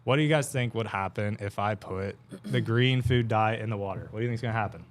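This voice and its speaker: Steady low-voiced male educator. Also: fast talker. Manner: self-described monotone, steady explaining. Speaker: extension educator guest. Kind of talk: explaining on a TV show. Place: TV studio.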